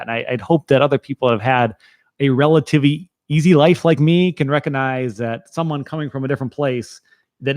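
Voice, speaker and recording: A man of middle pitch hosts a casual talk show in a dry, close-miked sound. He speaks earnestly and encouragingly, letting his sentences run on and double back. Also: a little mellow, no echo. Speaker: podcast host. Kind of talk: casual conversational interview.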